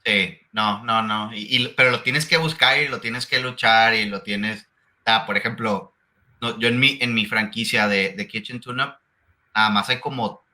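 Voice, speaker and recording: Host, male franchise consultant, low register with a sharp edge, restarts a lot, then rattles off questions. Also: fairly even intonation. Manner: hesitant restarts, then rapid rhetorical questions. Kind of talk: casual interview talk.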